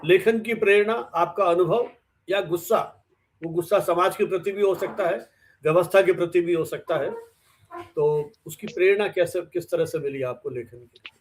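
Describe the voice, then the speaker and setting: A man hosting an interview, asking questions conversationally and deliberately in short segments. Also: high-pitched, a little hiss or hum. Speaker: interviewer, host.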